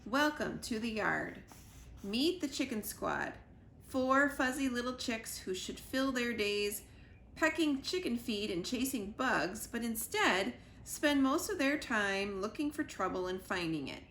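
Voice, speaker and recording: A woman's voice: on the high side, lively intonation, measured pace, fairly bright tone, echoey room, a little hiss or hum. A woman voicing a weary, dry-humored retired male search-and-rescue dog narrating in first person.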